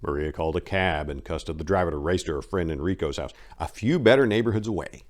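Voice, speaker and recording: A man's voice: deep voice, fast. A man hosting and reading a scripted story as dramatic narration, with theatrical emphasis. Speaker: host and narrator.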